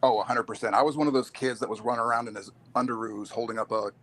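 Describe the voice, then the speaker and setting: Wry man with a mid-pitched voice. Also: fairly even intonation, racing, fairly bright tone. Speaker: show guest. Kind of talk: casual chat.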